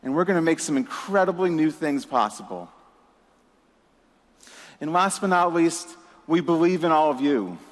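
A man's voice: a little high, animated, normal speed, pauses often. A man giving an upbeat conference keynote, emphatic, with a few "you know" fillers. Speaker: keynote presenter. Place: large conference hall. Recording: reverberant hall with audience.

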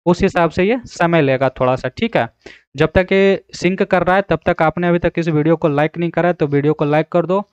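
A man's voice: a little high, clean tone, dry recording, highs cut off. A man narrating a casual step-by-step app tutorial in an instructional manner, recorded as a voice-over. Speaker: tutorial host.

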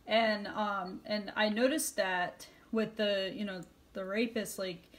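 A woman's voice: medium pitch, fairly even intonation, takes it slowly, fairly bright tone. A woman interviewing in a casual, conversational way, sharing her own thoughts as she goes. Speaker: interviewer, documentary maker.

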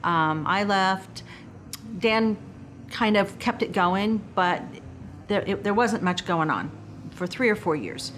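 A woman's voice: mid-range voice, lively intonation, takes it slowly, a bit bright, soft background music. A middle-aged or older woman casually recollecting in a documentary interview indoors. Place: indoor room, seated interview.

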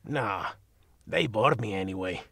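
A sarcastic male talking cat from an audio drama, as a man voices him, gravelly and husky with a gritty rasp under every line. He is blunt and swears freely, and the recording is close and dry. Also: on the low side, animated, a little slow, fairly bright tone, no echo, heavily acted. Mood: grumpy. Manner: sarcastic, blunt, swears, drops Spanish words. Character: grumpy foul-mouthed male talking cat. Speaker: magical shop cat.

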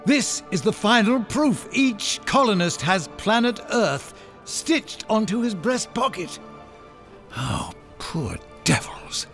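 An older male professor exploring space in an audio drama. His voice is fairly high and very expressive, fussy and full of scholarly wonder, with soft music behind. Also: takes it slowly, heavily acted. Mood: awed, observing. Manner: descriptive, marvelling, dictating observations. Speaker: actor in a sci-fi audio drama.

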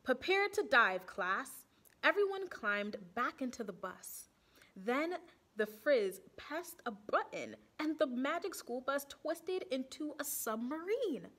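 Animated young woman reading to children. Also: sits high, slow. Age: young adult. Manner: animated, asks the children questions. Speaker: storytime reader for children. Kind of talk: expressive picture-book read-aloud for children.

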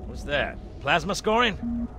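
An actor playing a gruff, bossy, hard-bitten Marine sergeant in a sci-fi game, brash and salty. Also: male voice, on the high side, sing-song, measured pace, bright, forceful tone, a little hiss or hum, heavily acted. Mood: wry. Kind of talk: sci-fi video game acting.

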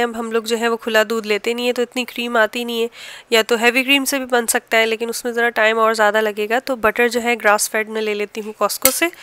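Pakistani lady chatting through her home vlog, really smooth clean voice, dry close sound. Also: on the high side, fairly bright tone, no echo. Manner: chatty, explanatory, homely everyday talk. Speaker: home cooking and family vlogger. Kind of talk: casual vlog voice-over narration.

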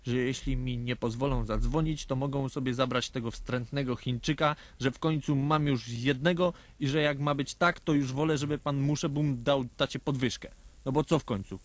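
A man's voice: mid-range voice, no room sound, close to an everyday voice. A man playing a naive, earnest little boy who tells his own story in a radio play. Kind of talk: scripted first-person storytelling in a radio play.

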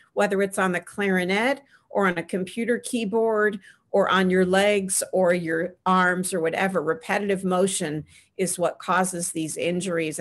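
A middle-aged woman on a video call panel, giving earnest practical advice and often pausing with "um". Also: fairly low pitch. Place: small home room with framed pictures. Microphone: webcam on a video call.

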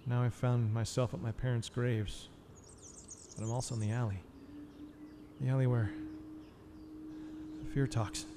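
A man's voice: mid-range voice, level tone, normal speed, long pauses, long reverb tail, background noise, close to an everyday voice. A man playing a brooding, haunted vigilante hero, narrating a noir inner monologue in an audio drama.

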